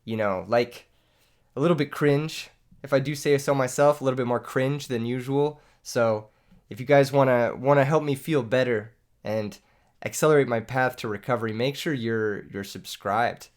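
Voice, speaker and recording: A man's voice: medium pitch, moderate pace. A young man who has lost his voice to illness, joking and laughing as he commentates a card game he is playing for his channel. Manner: joking, self-mocking, laughs often. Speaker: gaming YouTuber. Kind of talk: live gameplay commentary.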